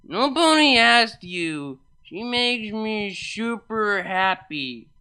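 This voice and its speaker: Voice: medium pitch, sing-song, drawn-out words, pushed and bright, smooth, pitched above the performer's own voice, heavily acted. A performer voicing a brash, drunken female party pony who talks in slangy, slurred exclamations. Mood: drunk, defensive.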